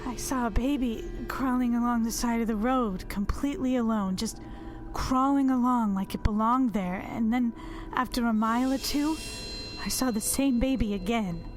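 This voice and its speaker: An actress playing a distraught, haunted woman in an audio drama, speaking haltingly as she confides in her psychologist. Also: on the high side, faint music behind, natural voice. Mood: shaken, haunted. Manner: halting, repeating words, trailing off.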